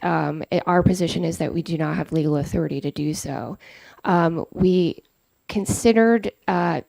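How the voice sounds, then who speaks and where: Low gravelly woman giving formal testimony. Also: warm tone, dry recording, poor audio. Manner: measured, careful, bureaucratic phrasing with frequent ums. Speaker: agency executive director testifying. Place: legislative committee hearing room. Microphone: table microphone.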